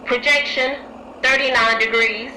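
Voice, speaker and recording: A woman's voice: high-pitched, fairly even intonation, a little slow, sharp tone, heavily acted. A woman playing a commanding army artillery officer in a radio drama, barking clipped orders. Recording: noisy radio play battle ambience.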